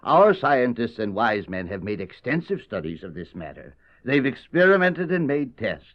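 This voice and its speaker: A man's voice: mid-range voice, big pitch swings, normal speed, close to an everyday voice. An actor playing a dismissive, condescending middle-aged father in a Bible radio drama. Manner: blustering, condescending. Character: dismissive, skeptical father of a grown daughter. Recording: muffled old cassette.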